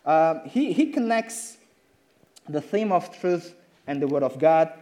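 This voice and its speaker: Male preacher, slightly high, mellow tone, halting delivery. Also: animated. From Indonesia. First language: Indonesian. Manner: halting, with many fillers and restarts. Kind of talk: sermon with slides.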